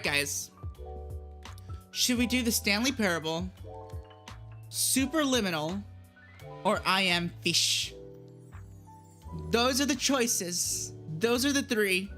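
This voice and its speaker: A woman's voice: mid-range voice, takes it slowly, pauses often, fairly bright tone, faint music behind. A woman chatting casually as she hosts a gaming livestream, giggly and easily sidetracked. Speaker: streamer hosting a gaming livestream.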